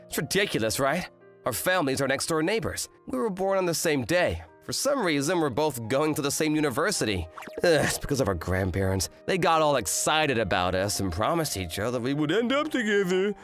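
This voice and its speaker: Casting: grumbling teenage rom-com hero played by a man, indignant, highish voice, very lively swings, music underneath. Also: fairly quick. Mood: exasperated. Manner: indignant, complaining, dramatic. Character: exasperated eighteen-year-old male student, grumbling rom-com fiancé. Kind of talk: manga dub acting and first-person narration.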